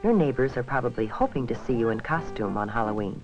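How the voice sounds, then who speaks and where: An American man reading scripted, instructive narration for a 1970s safety film, with measured phrasing addressed to young people. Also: mid-range voice, lively intonation, over music. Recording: dull vintage 1970s film soundtrack.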